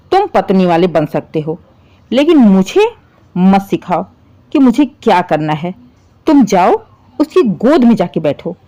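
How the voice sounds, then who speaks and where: A woman's voice: a little high, warm tone. A woman voicing a bitter, middle-aged mother-in-law who scolds with sarcasm and contempt in a story. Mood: scornful.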